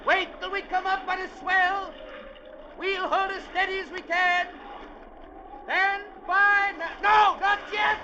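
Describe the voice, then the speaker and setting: Casting: domineering old sea captain, seventies, high-pitched gravelly bark, impatient, vintage radio play with heavy storm noise. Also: male voice, little pitch movement, slow pace, muffled recording, a crowd in the background, full character voice. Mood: urgent shouting. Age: 70s. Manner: barking, curt, impatient orders. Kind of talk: 1940s radio drama acting.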